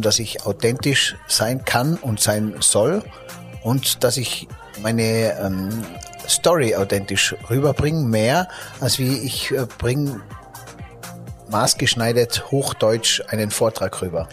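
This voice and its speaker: Ein Mann mit österreichischem Akzent, der als Gastgeber im Gespräch lebhaft erzählt und oft nach Worten sucht. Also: male voice, medium pitch, takes it slowly, faint music behind. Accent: Austrian German. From Austria. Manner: lively, searching for words with fillers and restarts. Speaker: podcast host. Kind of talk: casual interview talk.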